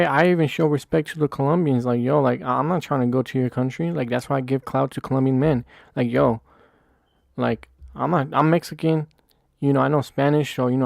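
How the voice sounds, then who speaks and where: A man's voice: medium pitch, vocal fry, darkish tone, no room sound, studio quality. A man talking bluntly and profanely in a heated casual discussion, repeating himself as he goes. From United States.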